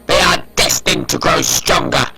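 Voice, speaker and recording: A man's voice: fairly high pitch, a little slow, vocal fry, rough recording. A man voicing a menacing, imperious alien war machine in a sci-fi audio drama, barking commands through robotic modulation. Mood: cold, menacing. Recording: loud music over the voice.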